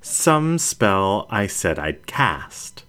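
A man's voice: on the low side, big pitch swings, very slow, bright, forceful tone, full character voice. A man acts out a young animal-folk woman who works as a skeptical video journalist in an audiobook. The delivery is pointed and wounded, rising and falling sharply with emotion. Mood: challenging, skeptical. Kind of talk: storybook character reading.

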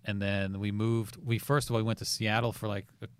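Relaxed, lowish-voiced man. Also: a little fast, smooth. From United States. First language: Farsi. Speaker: guest comedian. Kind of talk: casual chat.

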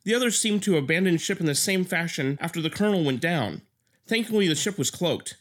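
Anxious scientist, highly animated pitch. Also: female voice, fairly quick. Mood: reflective. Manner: urgent, questioning. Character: anxious, brisk scientist aboard a time-travel ship. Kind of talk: audio drama acting.

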